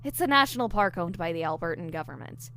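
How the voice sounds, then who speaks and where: A woman's voice: on the low side, lively intonation, fast, a bit bright. A woman playing an eager, diligent newly hired investigator in an audio drama, explaining things earnestly. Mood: assured, explanatory. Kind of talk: audio drama dialogue, explaining case theories.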